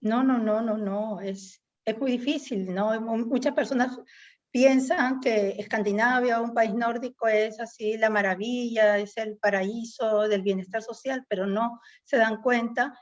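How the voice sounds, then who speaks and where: A woman's voice: fairly high pitch, fairly even intonation, unhurried. Reflective Peruvian woman, slightly muffled. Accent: Peruvian Spanish. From Peru. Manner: reflective, with frequent "¿no?" tags and "este" fillers. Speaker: guest poet. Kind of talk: conversational interview.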